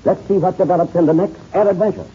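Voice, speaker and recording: Husky male old-time radio announcer. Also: sits high, steady tone, fast talker, dark tone. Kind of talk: dramatic scripted old-time radio narration. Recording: muffled old radio recording.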